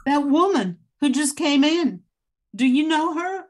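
A woman's voice: high-pitched, expressive, measured pace. An older woman playing an anxious, desperate widow in an amateur radio drama.

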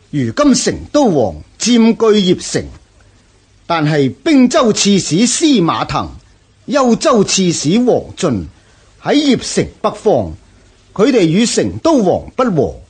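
An actor playing a proud, scornful Xiongnu chieftain in a Cantonese historical radio drama, declaiming grandly with a Hong Kong accent. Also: male voice, high-pitched, darkish tone, slightly rough, exaggerated voice. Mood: calculating.

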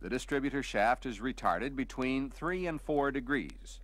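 A man reading scripted technical narration for a vintage instructional film, explaining step by step in a measured, didactic way. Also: medium pitch, expressive, fairly bright tone, no room sound.

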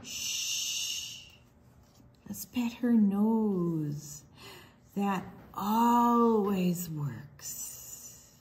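A woman reads a picture book aloud to small children. Her pitch moves widely and expressively. She takes her time, stretching words out and dropping into hushed asides. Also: expressive, extremely slow, pauses often. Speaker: children's storytime reader.

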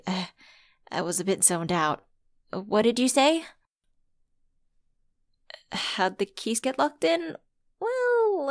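A woman acting a slow-paced, flustered, friendly young lady. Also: fairly high pitch, dry recording, studio quality. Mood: embarrassed, distracted. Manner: hesitant, chatty, expressive with sighs and giggles. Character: flustered, friendly woman locked out of her car at Christmas. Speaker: voice actor in audio roleplay. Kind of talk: ASMR roleplay acting, one-sided conversation.

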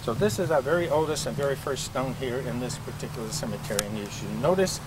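A man's voice: mid-range voice, fast. An older man leading a walking tour through a cemetery outdoors. He explains things in a chatty way, with many fillers and restarts, and is recorded on a camcorder from a few feet away. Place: outdoor cemetery among trees. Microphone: camcorder mic from a few feet away. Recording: outdoor camcorder audio with traffic noise.

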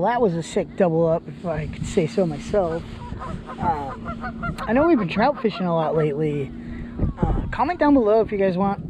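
A young man with a high, light voice chats cheerfully as he fishes, narrating straight to a body-worn camera. Wind and steady outdoor noise sit clearly under his voice. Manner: upbeat, chatty, laughs often. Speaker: fishing vlogger. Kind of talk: casual outdoor vlog narration. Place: outdoors on a lakeshore. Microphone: body-worn action camera.

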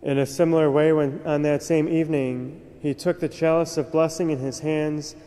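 Young priest praying the mass, slow and solemn, mellow tone, big echoey cathedral. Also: male voice, a little high, steady tone. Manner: measured, solemn recitation. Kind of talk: liturgical prayer at Mass. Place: large cathedral, at the altar. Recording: long cathedral reverb.

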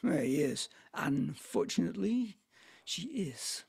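A brooding, embittered middle-aged man from a stage drama, read by a man. The voice is rough and creaky, and it rises and falls dramatically with scorn and envy. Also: fairly high pitch, very animated, slow pace, darkish tone, exaggerated voice. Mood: resentful. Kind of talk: amateur play reading. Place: at home on a video call. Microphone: video call microphone.

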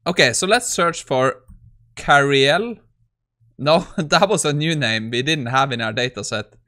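Bright-voiced man, casual tutorial. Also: on the high side, measured pace. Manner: loose, informal, thinking aloud. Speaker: host of coding tutorial channel. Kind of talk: casual screen-share tutorial commentary.

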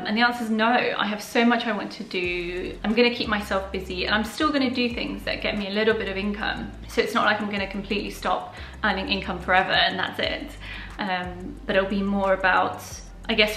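Young woman, Polish native speaker, bright voice, casual chatty vlog Q&A, echoey living room. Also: medium pitch. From Poland. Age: young adult. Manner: chatty and open, answering viewer questions. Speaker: personal finance YouTuber. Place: living room at home, indoors.

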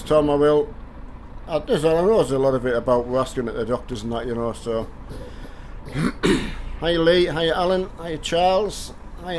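An older man from the north of England, hoarse from a cold, chatting casually on a game live stream and greeting viewers by name through a headset mic. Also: fairly high pitch, a little mellow, a little hiss or hum. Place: home gaming room with driving rig.

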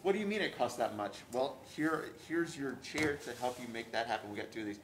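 Fast-talking wisecracking man in a showroom. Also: medium pitch, a little hiss or hum. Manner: quick, wisecracking, deadpan asides. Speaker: furniture store co-host. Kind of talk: joking live sales banter. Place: large furniture showroom indoors. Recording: echoey showroom with ambience.

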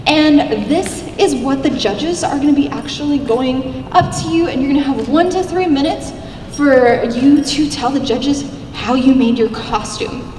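A young woman with a squeaky little voice giving an upbeat, chatty convention panel talk, speaking into a handheld mic in a large hall. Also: measured pace, a little mellow, a little hiss or hum. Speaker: cosplay contest judge giving a convention panel. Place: large convention hall, seated at a stage table. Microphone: handheld mic, filmed by a far camera. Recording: echoey hall, recorded from far off.

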